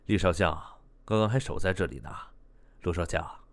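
A man playing an excitable research doctor in a modern audio drama, exclaiming readily. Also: sits low, moderate pace, gravelly. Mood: reassuring. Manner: stammering when excited, exclamatory. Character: excitable male research doctor, bargaining and easily amazed. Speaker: voice actor.

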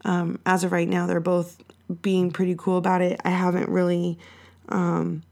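Low gravelly woman talking on her podcast, raspy and sick, swears a lot and rambles. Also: monotone, moderate pace, darkish tone. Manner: rambling and profane, lots of fillers. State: sick, hoarser than usual. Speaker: podcast host. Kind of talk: casual solo podcast chat.

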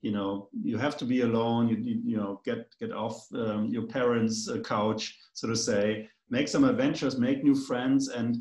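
A man's voice: medium pitch, steady tone, normal speed, few pauses, a little mellow, reverberant, poor audio. A man with a German accent, a guest in a casual conversation, talking in a rambling, hesitant way with many fillers.

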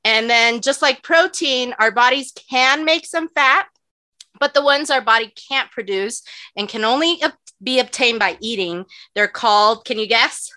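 Bright-voiced chatty woman, dry sound. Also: fairly high pitch, normal speed, no echo. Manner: chatty, lots of "like" and "you know"; laughs often. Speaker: podcast co-host. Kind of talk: casual podcast chat, explaining nutrition.

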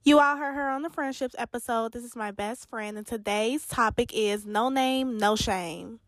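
High-voiced young woman hosting a podcast. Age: 20s. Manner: lively, laughs often, quick reactions. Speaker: podcast host. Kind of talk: casual podcast chat.

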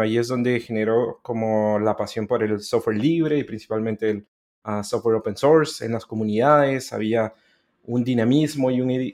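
A man's voice: medium pitch, a little mellow. Chatty man. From Central America. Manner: friendly, chatty, laughs easily. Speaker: interview guest. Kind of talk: casual interview chat.

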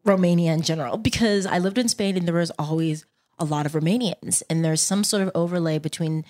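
A woman hosting a podcast, asking questions in a curious, chatty way with animated asides. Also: on the low side, a little fast. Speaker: podcast host. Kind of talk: casual interview chat.

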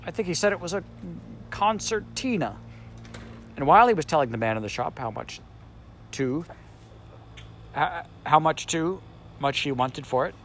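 A man's voice: a little high, a bit bright, a little hiss or hum, pitched above the performer's own voice. A man voicing a grumpy, self-important magic sand creature in an audiobook, speaking in a cross, snappish way. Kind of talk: audiobook character voice.

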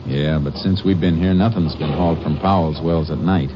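An American actor playing a steady, determined Texas Ranger in a 1950s radio drama, plain-spoken and folksy as he talks and narrates. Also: very deep voice, normal speed, background noise. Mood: businesslike. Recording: dull old 1950 radio recording, music behind.

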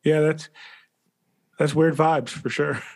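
A man's voice: fairly high pitch, fairly even intonation, takes it slowly. A man co-hosting a podcast, chatting in an easygoing way with light laughter and casual fillers. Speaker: podcast co-host. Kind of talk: casual conversational chat.

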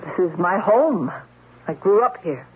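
A woman's voice: fairly low pitch, lively intonation, measured pace, slightly rough, full character voice. An actress playing an earnest, strong-willed young woman doctor in a radio drama, with a dramatic delivery. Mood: troubled. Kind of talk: vintage radio drama acting. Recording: dull, noisy old radio recording.

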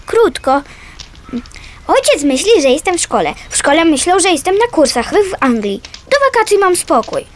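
A female performer playing a stubborn, precocious runaway girl in a radio play, with a blunt, childlike and expressive way of talking. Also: very high-pitched, normal speed. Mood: confiding. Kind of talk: naturalistic Polish radio play acting.

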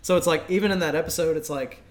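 A man's voice: on the high side, fast talker. A man co-hosting a podcast, talking casually and informally in an agreeable conversational way. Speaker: podcast co-host.

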